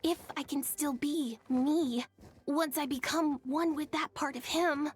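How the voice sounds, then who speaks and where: A woman voicing a young boy dragon in a video game, shy, gentle and earnest, with a soft, hesitant, sincere delivery. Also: sits high, steady tone, measured pace, soft background music. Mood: resolute, reflective.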